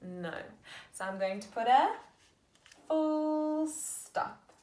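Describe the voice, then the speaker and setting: A woman teaching young children, slowly sounding out sentences and praising them warmly. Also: high voice, expressive. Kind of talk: slow, patient lesson for young children. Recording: echoey room.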